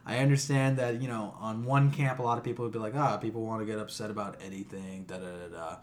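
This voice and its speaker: A man's voice: fairly low pitch, steady tone, quick pace, a little hiss or hum. A man co-hosting a podcast, speaking casually in an exasperated, emphatic way with heavy swearing. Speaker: podcast co-host. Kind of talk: casual, profane rant and banter.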